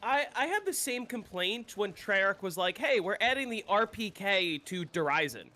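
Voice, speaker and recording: A man's voice: sits high, lively intonation, normal speed, bright, forceful tone. A man chatting casually while he streams a game, rambling and opinionated and swearing freely. He sounds congested, like he is coming down with a cold. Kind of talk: casual gameplay chat.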